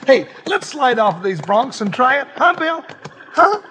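Folksy cowboy sidekick, country drawl, excitable, very high voice, old radio hiss. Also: male voice, measured pace, in a large hall, full character voice. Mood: cheerful. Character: folksy, jovial male deputy and sidekick. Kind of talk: 1950s radio acting. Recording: hissy old radio transcription.

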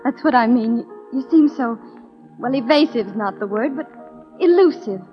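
Woman playing high-pitched genteel young lady, proud, coy, guarded, old radio western, muffled vintage broadcast. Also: expressive, normal speed, faint music behind, lightly acted. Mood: wistful. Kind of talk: 1940s radio drama acting. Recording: dull 1940s radio broadcast.

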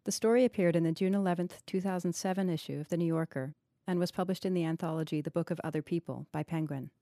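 A woman's voice: lowish voice, quick pace, warm tone, slight background noise. A woman hosting a literary podcast, presenting and asking about a short story. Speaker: podcast host, fiction editor.

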